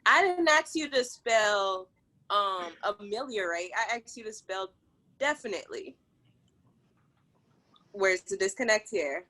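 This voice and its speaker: A woman's voice: mid-range voice, expressive, a little slow, pauses often, bright, forceful tone. A woman with a Liberian English accent, hosting a casual group chat over a video call and ranting with a laugh. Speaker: podcast host.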